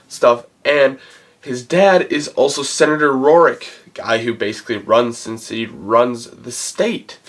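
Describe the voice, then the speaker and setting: A man with a cold gives a casual, rambling film review, halting as he searches for words. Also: medium pitch, fairly bright tone. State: has a cold. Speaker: movie reviewer and channel host.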